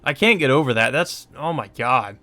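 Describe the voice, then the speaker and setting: A man's voice: medium pitch, lively intonation, normal speed, pushed and bright. Man reading a visual novel over music. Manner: profane, informal asides. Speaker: let's play host. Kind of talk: reading visual novel narration aloud, with casual commentary. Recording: music behind the voice. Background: game music.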